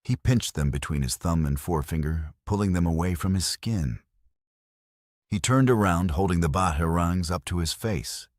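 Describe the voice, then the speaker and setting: A man's voice: sits low, normal speed, no room sound, studio quality. A man narrating an action audio novel in a dramatic storytelling style.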